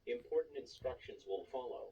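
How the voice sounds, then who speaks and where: A man's voice: medium pitch, animated, brisk, warm tone, husky. A man voicing an impersonal emergency alert announcer, reading a formal, official scripted broadcast. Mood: formal, urgent. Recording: distant, noisy TV broadcast playback.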